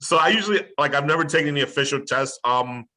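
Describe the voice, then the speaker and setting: Bright-voiced American man chatting. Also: fairly high pitch, fast talker, low-quality recording. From Chicago, USA. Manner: relaxed, agreeable, storytelling. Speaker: interview guest, polyglot. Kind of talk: casual conversation.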